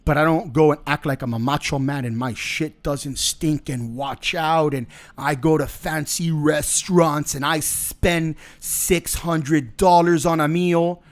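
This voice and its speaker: Man, middle-aged, podcast host, casual monologue, blunt, cursing, joking, slightly high voice. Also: steady tone, a little slow, few pauses.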